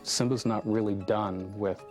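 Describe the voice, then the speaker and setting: A man talks thoughtfully in a documentary interview as a film director. His voice is fairly low and stays even, with emphatic repeats, over clearly audible music. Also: a little slow. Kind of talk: documentary interview, reflective explanation.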